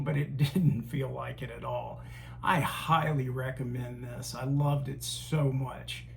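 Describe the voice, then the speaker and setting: Casting: man hosting a film review channel, unhurried and halting delivery, gravelly edge, faint hum behind. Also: mid-range voice. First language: English. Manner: slow, halting, frequent uh fillers. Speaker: host. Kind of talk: casual film collection review.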